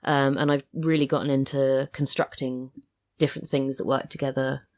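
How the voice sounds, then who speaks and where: A woman's voice: extremely low pitch, flat delivery, moderate pace, darkish tone. A woman with a British accent speaking as a podcast guest in casual conversation, wry and self-deprecating. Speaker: podcast guest, audio drama writer. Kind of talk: casual interview chat.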